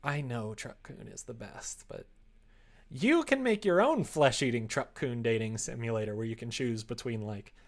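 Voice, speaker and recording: A man's voice: mid-range voice, lively intonation, normal speed. Man musing casually on a livestream. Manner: musing aloud, joking, reads chat and drafts aloud. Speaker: streamer writing a visual novel. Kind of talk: casual livestream chat while writing. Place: indoors at a computer desk.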